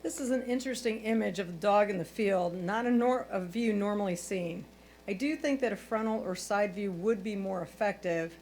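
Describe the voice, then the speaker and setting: A medium-pitched woman reads out her prepared critiques as a judge. She is constructive and encouraging. There is an audible hum and background noise on the recording. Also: moderate pace, darkish tone, low-quality recording. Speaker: photo competition judge. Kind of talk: reading own prepared critiques.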